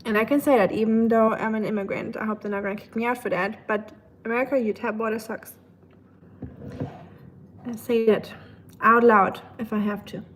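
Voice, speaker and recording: A woman's voice: a little high, steady tone, fairly quick, darkish tone, low-quality recording. A woman with a German accent chatting casually to livestream viewers, thinking aloud in a rambling way with dry humor. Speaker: livestream host. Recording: clear background ambient noise.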